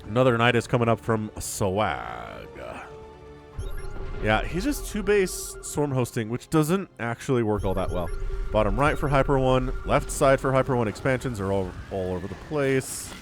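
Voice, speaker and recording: Male esports caster, animated delivery, informal and chatty, slightly sniffly, music underneath. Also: medium pitch, moderate pace. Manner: chatty, informal, slangy asides. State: sniffly with a cold. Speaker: esports shoutcaster. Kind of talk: casual live game commentary.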